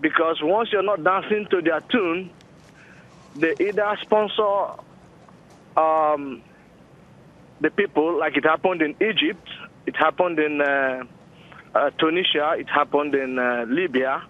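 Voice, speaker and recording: Deliberate man on a narrow phone line. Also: on the high side. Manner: formal, deliberate, pausing. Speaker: phone-in caller. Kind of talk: measured commentary on a talk show call. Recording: narrow-band telephone line.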